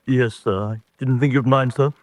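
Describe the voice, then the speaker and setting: A man's voice: mid-range voice, fairly even intonation, moderate pace, dry recording, natural voice. Deferential manservant, played by a man. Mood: deferential, uneasy. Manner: deferential, colloquial. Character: nervous, deferential British manservant, plain-spoken and loyal. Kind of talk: radio drama acting.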